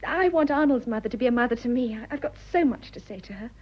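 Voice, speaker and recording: Casting: romantic British wife, twenties, earnest and hesitant, husky high register, stage comedy on radio, slightly muffled sound. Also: female voice, expressive, quick pace. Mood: dreamy, earnest. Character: romantic, idealistic, nervous young English wife of twenty-five. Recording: slightly dull, lo-fi audio.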